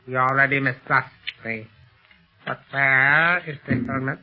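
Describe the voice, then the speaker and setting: A man plays a cowering henchman in an old radio serial. His mid-pitched voice is formal, anxious and eager to appease. The sound is dull, like an aged 1930s broadcast. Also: steady tone, measured pace, soft background music, close to an everyday voice. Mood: anxious muttering. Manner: obsequious, anxious, formal phrasing. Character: fearful, servile henchman of a master criminal.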